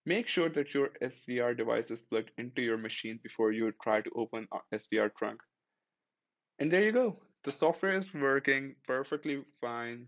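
A man hosting a tech tutorial, talking through software setup step by step in a friendly, matter-of-fact way as a screen-recorded voice-over. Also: mid-range voice, dull audio.

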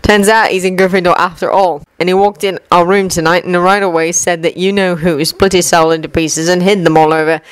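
A woman's voice: lowish voice, fairly even intonation, fairly quick, hardly any pauses, smooth, no echo, close to an everyday voice, rough recording. A woman voicing a grumpy, suspicious teenage schoolboy wizard who grumbles doubtfully. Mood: grumbling.

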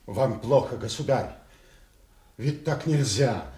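Man playing mellow, solicitous loyal attendant. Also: mid-range voice, slow pace, lightly acted. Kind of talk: classical Soviet stage acting for radio. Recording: echoey Soviet radio theatre recording.

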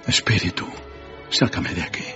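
An actor playing an anguished, pleading old miser in a radio drama, with a gravelly voice. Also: male voice, moderate pace, muffled recording, lightly acted. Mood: distressed. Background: music.

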